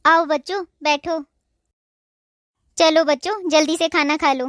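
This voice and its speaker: A gentle young wife from a cartoon moral story, voiced by a woman. She speaks in a remarkably high voice, patient and reassuring. The recording is dry and close. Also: fairly even intonation, unhurried, no echo. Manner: soft-hearted, reassuring, respectful.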